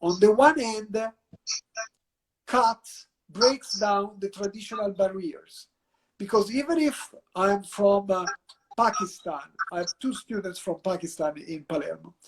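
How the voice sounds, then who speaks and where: A man's voice: high-pitched, a little slow, poor audio. A man with an Italian accent, a university professor, answering questions at length in a discursive lecturing way with restarts. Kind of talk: guest answering in an interview.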